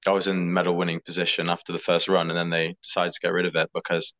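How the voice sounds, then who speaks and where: A young man, an athlete answering interview questions in a relaxed, chatty way. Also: sits low, quick pace. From England. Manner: relaxed, chatty, fillers like "like" and "sort of". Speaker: skeleton athlete. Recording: slightly dull lo-fi audio.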